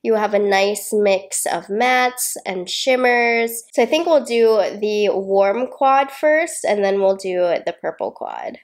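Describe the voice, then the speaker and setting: A woman's voice: medium pitch, slow pace, hardly any pauses. A young woman with a congested, slightly nasal voice, chatting upbeat and casually through a makeup tutorial at home. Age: young adult. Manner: chatty, upbeat, laughs often while working. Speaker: beauty vlogger. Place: small home filming room indoors.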